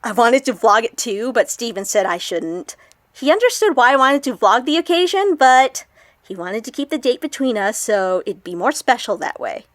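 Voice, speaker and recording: A young woman confiding in her vlog audience in an audio drama. Her voice is on the high side, girlish and expressive, with an awkward, excitable streak. The sound is dry, with no room around it. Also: normal speed, no echo. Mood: giddy, excited.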